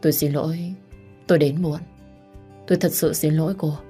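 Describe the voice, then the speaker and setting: A woman's voice: sits low, steady tone, normal speed, dark tone, faint music behind, natural voice. A woman voicing a concerned, apologetic estranged husband in a narrated melodrama. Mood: remorseful.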